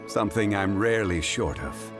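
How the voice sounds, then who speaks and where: A man voicing a refined, scholarly gentleman in a video game, with a measured, formal and composed manner. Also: on the low side, close to an everyday voice. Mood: calm. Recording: music behind the voice.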